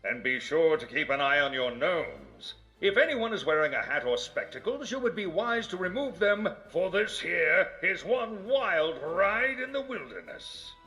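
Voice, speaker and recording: An actor playing a noble paladin who hams it up as a theme park announcer in a video game, courtly and grandiose. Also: male voice, sits high, sing-song, measured pace, hardly any pauses, sharp tone, faint music behind, exaggerated voice, low-quality recording. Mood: grand, cautioning. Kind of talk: scripted game announcer voice lines.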